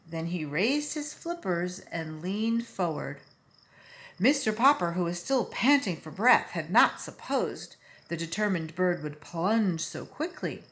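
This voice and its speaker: A woman reading a children's story aloud in an expressive, emphatic storytelling manner. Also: fairly low pitch. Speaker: volunteer story reader.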